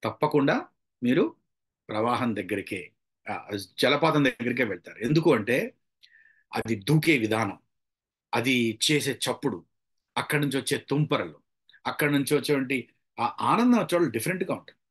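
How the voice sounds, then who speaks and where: Telugu indian guy, middle aged or older, medium pitch, teaching public speaking, emphatic, asks lots of rhetorical questions. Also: expressive, fairly bright tone, slightly rough. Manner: switches between Telugu and slow deliberate English. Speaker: long-time radio presenter. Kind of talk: motivational online lecture to a group.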